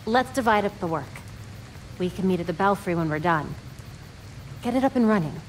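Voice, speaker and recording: A woman's voice: mid-range voice, lively intonation, brisk, a little hiss or hum, natural voice, low-quality recording. An actress playing a focused, pragmatic young female vigilante in a game, talking in a businesslike way. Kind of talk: cinematic game dialogue.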